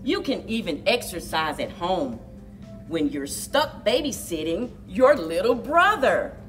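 A middle-aged woman speaking to young children as a story time host, with exaggerated, drawn-out storyteller delivery. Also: lowish voice, very animated, far from the mic, soft background music. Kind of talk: expressive picture-book read-aloud and leading action activity for children. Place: indoors, children's library room.

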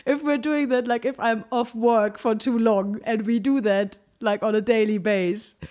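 A woman's voice: a little high, steady tone, normal speed, a little mellow. A young woman from Germany with a German accent, co-hosting a casual podcast and playfully quizzing her partner, laughing often. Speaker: podcast co-host. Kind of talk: casual chat.

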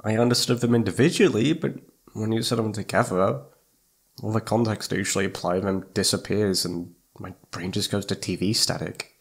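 A man's voice: lowish voice, moderate pace, gravelly, husky. A man playing a needy, playful young boyfriend in intimate ASMR roleplay, pouty and sweet, stammering when flustered.

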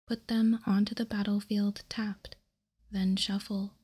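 A woman whispering softly and deliberately in ASMR style, close to the microphone as she hosts. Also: mid-range voice, flat delivery, slightly rough. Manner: soft, deliberate, unhurried whispering. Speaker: host. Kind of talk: whispered ASMR, reading trading cards aloud.

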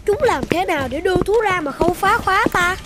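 A woman voicing a gentle, inquisitive young friend in a children's cartoon, youthful and expressive. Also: very high-pitched, fairly quick, very noisy. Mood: puzzled. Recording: music under the voice. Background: music.

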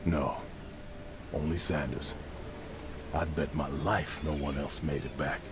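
Very deep, clipped male witness. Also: steady tone, takes it slowly, noisy background. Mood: guarded. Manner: clipped, matter-of-fact. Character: guarded male townsman, a witness answering questions. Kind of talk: video game voice acting.

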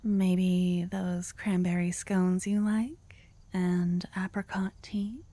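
ASMR roleplay: woman as a young possessive girlfriend, doting. Warm, husky whisper, unhurried. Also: medium pitch, no echo, full character voice. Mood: tender whisper. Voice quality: soft breathy whisper. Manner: slow, intimate and doting.

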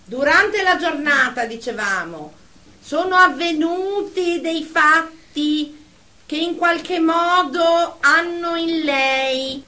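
A formal, stern female therapist played by an actress in a comic audio drama. Her high voice is bright and forceful, and she phrases every reprimand slowly and officially. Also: exaggerated voice. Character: stern, formal female group therapist reining in unruly patients. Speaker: therapist leading a group session.

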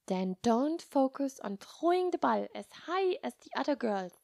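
A woman voicing a kind, patient nun in a children's story, speaking softly and reassuringly with gentle humor. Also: sits high, big pitch swings, creaky, no echo, studio quality, voice pushed up, exaggerated voice. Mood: encouraging. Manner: soft, measured, reassuring.

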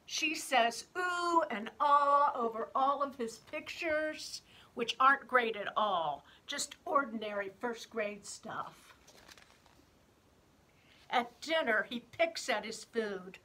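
Storybook woman performer as an aggrieved eight-year-old: bright, deliberate delivery, whiny and emphatic. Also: fairly high pitch, expressive, exaggerated voice, rough recording. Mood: exasperated. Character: jealous, indignant eight-year-old third grader griping about younger brother. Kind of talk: expressive children's picture book reading.

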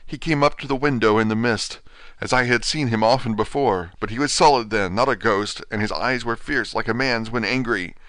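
A man's voice: mid-range voice, fairly quick, fairly bright tone, no room sound, voice pushed up, lightly acted. A man voicing a dying asylum patient, weak and frantic, pleading in urgent gasps. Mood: haunted. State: weak, near death.

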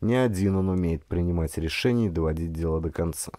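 Deep-voiced man narrating an expressive audiobook. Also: fast, no room sound, studio quality.

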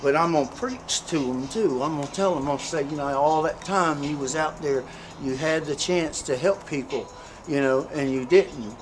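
A man with a slightly high voice talks earnestly and a little preachily, telling his story and leaning on "you know" again and again. Traffic and street noise run clearly under the recording. It sounds like a street interview recorded outdoors. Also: normal speed.

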